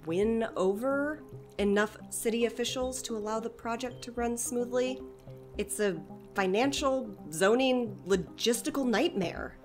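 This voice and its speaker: A woman's voice: a little slow, music in the background, lightly acted. A woman playing a chatty, enthusiastic community center director in an audio drama, talking expansively and persuasively. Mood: exasperated. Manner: expansive, persuasive, eager to pitch ideas.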